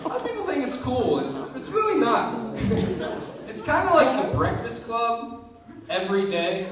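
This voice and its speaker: A man's voice: very high voice, wildly swooping pitch, measured pace, a crowd in the background. Young man doing stand-up, echoey and distant. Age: young adult. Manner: self-deprecating, hesitant "I, uh" restarts. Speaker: stand-up comedian. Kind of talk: stand-up comedy set. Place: comedy show stage with live audience. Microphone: camera recording from a distance. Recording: echoey room from far off, audience laughter.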